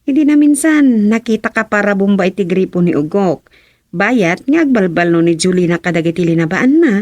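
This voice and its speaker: An actress playing a young wife and daughter with a Filipino Ilocano accent, coaxing and teasing in a radio comedy drama. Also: female voice, mid-range voice, animated, a little fast, darkish tone, no room sound, highs cut off, lightly acted.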